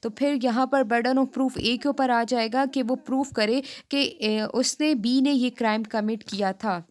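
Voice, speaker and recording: A woman with a Pakistani accent giving a law lecture, reading statutes aloud and explaining them in a halting, repetitive way. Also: fairly high pitch, steady tone.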